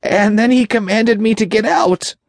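A man voicing a frightened, servile demon underling with a high, raspy, creaky voice and a timid, halting manner in an audiobook. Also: dry recording, clean studio sound, heavily acted. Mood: panicked, pleading. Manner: timid, halting, whimpering, slow. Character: groveling, frightened male impure spirit, servile demon underling. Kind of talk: dramatic audiobook character voice.

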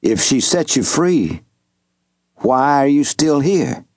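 Brief: man voicing a young man back home, grieving, urgent questions, measured storybook read. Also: medium pitch, very animated, a little mellow, no room sound, studio quality. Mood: anxious.

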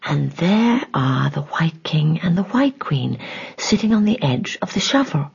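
A woman's voice: medium pitch, expressive, moderate pace, a little mellow, slightly rough, lo-fi sound, voice pushed up. A woman voicing a curious, polite and kindly young girl in a storybook, speaking earnestly. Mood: hushed wonder. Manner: whispers to herself, exclaims earnestly.

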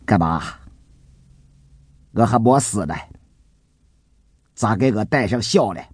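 A man voicing a jaded, scornful gangster boss with a gruff, dismissive manner in an audiobook drama. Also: on the low side, lively intonation, measured pace, darkish tone, dry recording. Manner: sneering, dismissive, coarse slang. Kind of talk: dramatised audiobook character acting.

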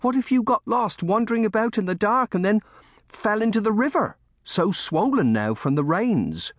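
Devoted young maid, read by a man, storybook style: high, swooping pitch, motherly fussing, humble and anxious. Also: sing-song, moderate pace, no echo. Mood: alarmed scolding. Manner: anxious, motherly scolding and soothing. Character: devoted, fussing young maidservant woman of humble cottage family.

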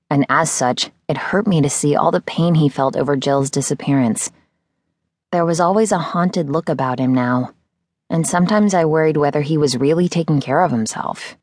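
Low-voiced woman narrating a novel, close and dry. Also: dry recording. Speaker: audiobook narrator. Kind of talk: scripted first-person novel narration.

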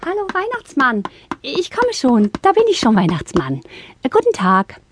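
A woman playing a small, eager, chirpy young Christmas fairy in a children's audio play, with a breathy, girlish voice. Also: high-pitched, huge pitch swings, normal speed, a little hiss or hum. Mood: eager, cheerful.

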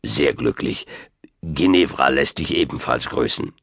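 Doddering, very deep old wizard. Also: male voice, gravelly, a bit bright, husky, no echo, studio quality, exaggerated voice. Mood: absent-minded. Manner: hesitant, halting, full of ums and chuckles. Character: muddled old wizard, doddering, amorous, well-meaning. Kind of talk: audiobook character voice.

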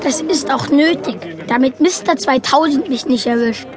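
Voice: high voice, moderate pace, over loud music, over a noisy crowd, lightly acted. An actor playing an earnest, quirky young boy who narrates his story matter-of-factly in a children's audio drama. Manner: matter-of-fact, childlike, explanatory. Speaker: narrator and main character.

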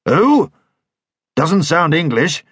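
A man voicing a tall, swaggering royalist cavalry officer who banters loudly and gives orders. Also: on the high side, very animated, slow pace, husky, dry recording, clean studio sound, voice pushed up, exaggerated voice. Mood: boisterous. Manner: loud, bantering, commanding. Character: boisterous tall royalist dragoon leader, male, swaggering.

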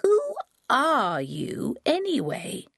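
A woman's voice: high-pitched, wildly swooping pitch, very slow, gravelly, fairly bright tone, breathy edge, no echo, studio quality, voice pushed up, exaggerated voice. A woman doing a muddled old granny. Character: confused elderly woman, sly, doting on dogs.

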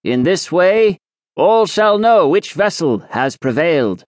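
A man's voice: on the high side, sing-song, slow pace, dry recording, clean studio sound, pitched above the performer's own voice. A man doing a stately old alchemist sage. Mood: proclaiming. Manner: stately, ceremonial proclamation. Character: pompous male alchemist sage, formal and authoritative. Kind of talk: audiobook character voice.

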